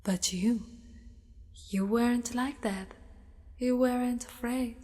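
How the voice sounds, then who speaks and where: Casting: woman as doting, predatory spider girl, higher-pitched, breathy ASMR whispers, sinister coaxing. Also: fairly even intonation, dark tone. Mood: tender, wistful. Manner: slow, deliberate, sinister coaxing. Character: possessive yandere spider-monster woman, menacing yet doting predator. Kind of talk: ASMR roleplay, often whispered. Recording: long reverberant cave-like echo.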